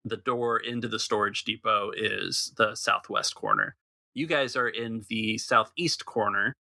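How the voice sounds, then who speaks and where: Game master, male, low and gravelly, bright edge, steady scene-setting. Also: a little slow. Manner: describing a map and calling dice checks. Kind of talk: narrating a tabletop game.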